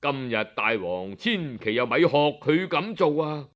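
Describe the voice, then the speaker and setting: A man voicing an earnest court minister in a storytelling performance, solemn, measured and imploring. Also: medium pitch, very animated, a bit bright, highs cut off, voice pushed down. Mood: pleading. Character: grave, earnest male court minister pleading with his king.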